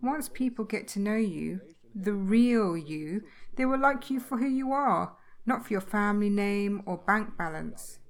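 A woman's voice: mid-range voice, lively intonation, measured pace. A woman acting a chatty, blunt, down-to-earth wife in a comedy audio drama, plain-spoken and chiding. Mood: gently reassuring.

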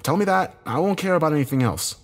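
A man's voice: a little high, lively intonation, quick pace, pitched above the performer's own voice. A man playing a distraught, confrontational husband and father in a dramatic story, insistent and questioning.

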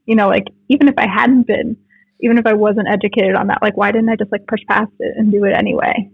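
A woman's voice: medium pitch, fairly even intonation, fast talker, gravelly, breathy edge, no room sound, narrow, tinny audio. A woman in her late twenties with a North American accent, chatting informally in an interview and telling stories in a loose, conversational way. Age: late 20s. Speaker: podcast guest, jiu jitsu writer.

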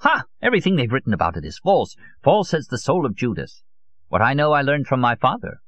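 A man's voice: mid-range voice, very animated, fairly quick, a bit bright, no echo, pitched above the performer's own voice. A man voicing a fervent elderly conspiracy theorist in an audiobook, emphatic and rhetorical, holding forth in rambling monologue. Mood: excitable. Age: older. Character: elderly Russian male conspiracy theorist, fervent, nostalgic taxidermist. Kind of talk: dramatised audiobook character. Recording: dull, muffled lo-fi audio.

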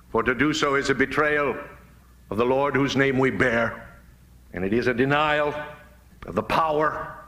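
Middle aged american guy preaching, kinda higher voice, very solemn n dramatic storytelling, echoey room. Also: bright, forceful tone. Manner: solemn, emphatic, deliberate phrasing with rhetorical build. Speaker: preacher giving a speech. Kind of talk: dramatic oratorical sermon with storytelling. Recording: roomy, echoing live speech recording.

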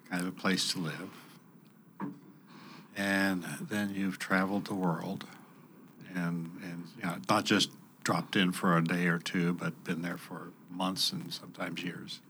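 A man asking questions in a relaxed, slightly hesitant way as an interviewer. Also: deep voice, slow, long pauses. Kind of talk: conversational interview. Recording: faint background noise.

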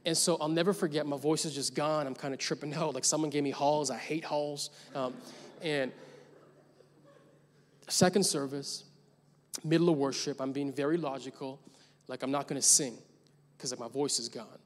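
A man's voice: a little high, fairly even intonation, normal speed, long pauses. A young adult man preaching a sermon as pastor, telling a story casually and building to emphatic points. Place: large church hall. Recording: long church hall reverb.

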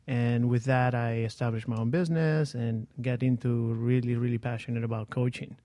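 A man being interviewed as a guest on a radio talk show, speaking earnestly and at a measured pace as a life coach. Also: mid-range voice, fairly even intonation, warm tone, no echo.

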